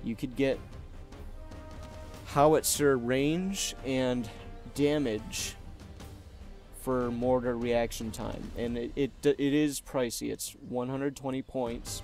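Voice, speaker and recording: A man's voice: medium pitch, takes it slowly, gravelly, soft background music. A man casually walking through his game setup, rambling with fillers and correcting himself as he goes. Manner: relaxed, rambling, many fillers and self-corrections. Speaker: gaming YouTuber. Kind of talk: casual game deck walkthrough.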